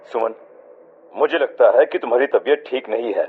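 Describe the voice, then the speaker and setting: A man's voice: mid-range voice, normal speed, husky, natural voice. A man playing a reassuring, mildly dismissive young husband in a horror cartoon. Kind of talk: dramatized horror story voice acting. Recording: muffled band-limited audio with music.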